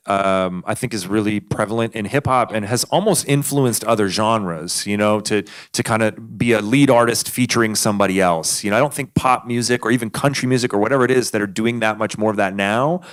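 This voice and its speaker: A man's voice: on the low side, brisk, hardly any pauses. A man moderating a live panel discussion at a conference, talking in a loose, conversational way with fillers. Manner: conversational, thinks aloud with fillers.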